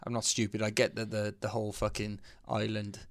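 A man's voice: fairly low pitch, completely flat delivery, brisk, gravelly. A young man co-hosting a podcast, chatting casually, joking and swearing as he explains things. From Wales. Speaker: podcast co-host.

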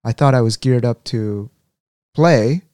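A man's voice: mid-range voice, unhurried, a little mellow, no echo, studio quality. A man who grew up in the United States, speaking as an interview guest and telling his story frankly, often restarting his sentences. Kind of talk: candid conversational storytelling.

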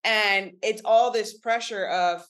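Brief: woman guest, native Spanish speaker, Mexican American, medium pitch, frank casual delivery. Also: fairly even intonation, takes it slowly, fairly bright tone. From United States, Mexican American family. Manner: frank, slangy. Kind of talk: casual interview talk.

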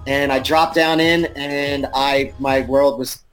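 A man's voice: medium pitch, fairly even intonation, slow, faint music behind, low-quality recording. A man in his fifties, a navy veteran guest telling stories with dry self-deprecating humour in casual live-show chat.

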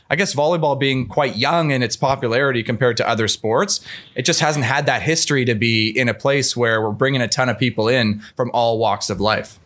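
Man, podcast host, medium-pitched voice, casual, rambling, wry, self-deprecating. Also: a bit bright.

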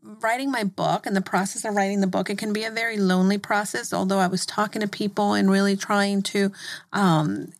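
A woman's voice: mid-range voice, steady tone, brisk. A middle-aged woman hosting an interview, supportive and full of affirming murmurs. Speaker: interviewer host. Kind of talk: casual interview conversation.

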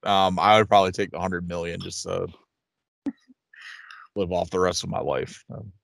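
A man's voice: deep voice, flat delivery, normal speed, gravelly, no echo. A man in his late thirties chatting casually as a podcast co-host, rambling and repeating his phrases. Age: late 30s. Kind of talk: casual chat, reading listener questions.

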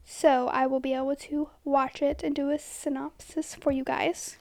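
Woman podcast co-host; very high, girlish voice with fry, giggly and casual. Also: little pitch movement, normal speed, a little mellow. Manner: giggly, youthful-sounding, says she sounds twelve.

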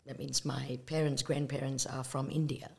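Low, gravelly-voiced earnest woman. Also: little pitch movement. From Malaysia. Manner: earnest and reflective, with pauses and fillers. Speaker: evangelist guest. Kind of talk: conversational testimony.

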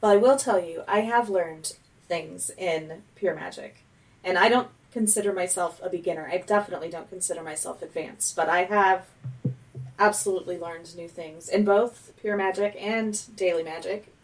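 A woman's voice: on the low side, moderate pace, rough recording. A woman hosting a podcast, chatting casually with her guest and affirming her often. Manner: warm, affirming listener with frequent mm-hmms. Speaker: podcast host. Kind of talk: casual interview chat.

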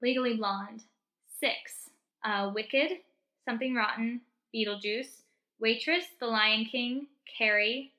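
Young woman, podcast host, slightly high pitch, crisp forward brightness, casual, emphatic, encouraging. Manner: emphatic, encouraging pep-talk delivery. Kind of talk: casual solo podcast talk.

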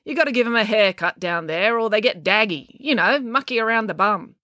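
Grumpy elderly woman, blunt and bossy, pitch swinging widely as she snaps woman reader acting her out, storybook character. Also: lively intonation, a little fast, bright, forceful tone, no echo, studio quality. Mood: brusque, blunt. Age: older. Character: grumpy, snappy old woman on crutches, blunt and commanding.

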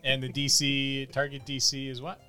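A man narrating a tabletop fantasy game as game master, describing the action vividly and haltingly. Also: medium pitch, completely flat delivery, bright, forceful tone. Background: background music.

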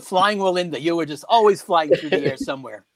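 A man's voice: a little high, fairly quick, crowd noise. A man in his sixties from New Jersey, co-hosting a casual, joking group chat. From New Jersey, USA. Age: 60s. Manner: playful, jokey, animated. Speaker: podcast co-host.

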